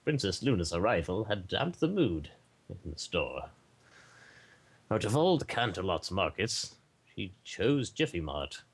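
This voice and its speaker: A man narrates a story as an amateur reader, now and then repeating a word. His voice is low and a little raspy, with lively rises and falls. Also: a little slow. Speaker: podcast participant reading aloud.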